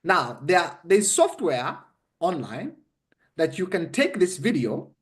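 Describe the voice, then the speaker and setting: A man's voice: on the high side, wildly swooping pitch, takes it slowly. A man with a Ugandan accent coaching conversationally, explaining step by step in an emphatic, instructive way and often checking that he is understood. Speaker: interview guest explaining a method.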